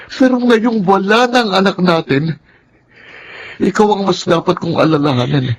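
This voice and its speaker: Earnest, worried husband, faint noise. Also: male voice, high-pitched. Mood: anguished pleading. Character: worried, pleading, devoted husband and respectful son. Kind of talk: Filipino radio drama acting.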